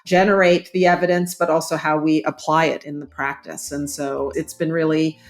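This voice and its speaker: A woman with a slightly low voice hosts a professional podcast. She speaks articulately and in measured phrases, in the manner of an editor summing up a discussion. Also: flat delivery. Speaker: podcast host, journal editor. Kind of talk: interview hosting.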